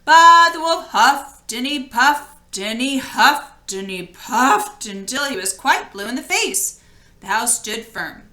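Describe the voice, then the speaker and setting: A woman's voice: high voice, slow. A woman reading a children's story aloud expressively, speaking straight to her listeners, in an echoey room. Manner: animated, addresses listeners directly. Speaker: storybook reader.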